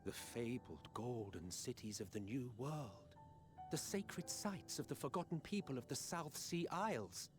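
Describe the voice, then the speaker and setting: An actor playing a proud, defeated villain in a video game, grandiloquent and disdainful. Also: male voice, medium pitch, lively intonation, a little slow, lightly acted. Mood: wry, lecturing. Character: proud, theatrical, defeated male villain, imperious and wry. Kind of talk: dramatic video game acting. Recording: orchestral music under the voice.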